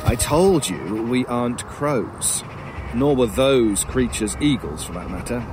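A man's voice: mid-range voice, expressive, measured pace, over music, lightly acted. Man voicing a sardonic, sinister scavenger crow creature. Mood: cold, mocking. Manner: formal, erudite, drily mocking. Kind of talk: audio horror drama acting.

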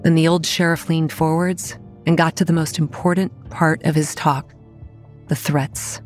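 A young woman running a campground, telling her own story in a horror audio drama. Her voice is low and the pace slow, with wry, understated delivery over faint music. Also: little pitch movement, darkish tone. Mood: wry narrating. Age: young adult. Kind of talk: first-person audio drama narration.

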